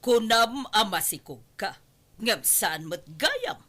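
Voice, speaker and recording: A woman's voice: a little high, sing-song, slow pace, sharp tone, full character voice. A woman playing an indignant, protective middle-aged mother in a radio drama, scolding and demanding answers. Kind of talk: Ilocano radio drama acting.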